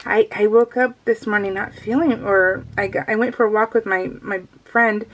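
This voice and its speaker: A middle-aged woman talks like a craft vlogger catching up with regular viewers. Her voice sits at an average pitch for a woman. She rambles in a casual, giggly way and is easily sidetracked. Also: steady tone, normal speed, slight background noise, poor audio. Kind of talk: casual chat, rambling vlog.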